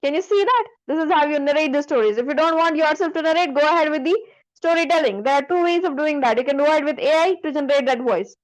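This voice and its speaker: High-pitched woman teaching a software tutorial. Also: quick pace, rough recording. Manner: instructive, frequently checking the listener follows with short questions. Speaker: instructor. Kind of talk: screen-share software tutorial.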